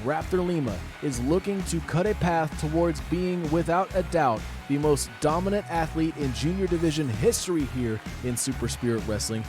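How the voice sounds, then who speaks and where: A man's voice: fairly high pitch, normal speed, hardly any pauses, over music. A man doing dramatic, emphatic play-by-play commentary on a wrestling show. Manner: dramatic, emphatic announcer delivery. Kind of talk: live sports commentary.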